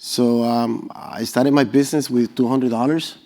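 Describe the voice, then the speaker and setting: A man's voice: medium pitch, fairly even intonation, moderate pace, warm tone. A middle-aged man from the Dominican Republic, a native Spanish speaker with a Dominican accent, telling his own story as a panelist. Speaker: panelist, business founder. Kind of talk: unscripted personal story to an audience.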